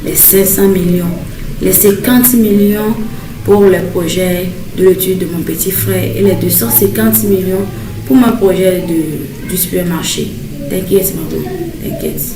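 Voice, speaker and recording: A woman's voice: on the low side, fairly even intonation, a little slow, background noise, natural voice. A woman voicing a gushing, reassuring young bride-to-be in a cartoon, dazzled by wealth. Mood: reassuring, excited. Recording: echoey, distant mic, music underneath.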